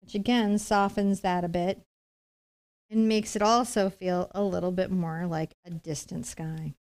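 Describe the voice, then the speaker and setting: A woman's voice: lowish voice, steady tone, unhurried, a little mellow. A middle-aged woman giving a conversational step-by-step painting lesson, chatty and explanatory with reassuring asides. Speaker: painting instructor, host.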